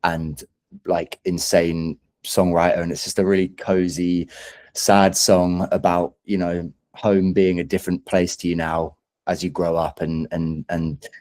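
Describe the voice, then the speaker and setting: A man's voice: low voice, level tone, measured pace, darkish tone. A man who is a singer-songwriter guest in a casual interview, speaking in a hesitant, thoughtful, self-deprecating way.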